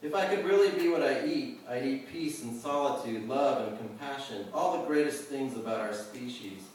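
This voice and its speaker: A man gives a live poetry reading in a very reverberant room, picked up from some distance. His medium-pitched voice is deliberate on the verse and loosens into offhand, casual talk. There is faint noise behind him. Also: a little mellow. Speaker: poet reading own work. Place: live reading venue, indoors. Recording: roomy echo, distant mic, faint noise.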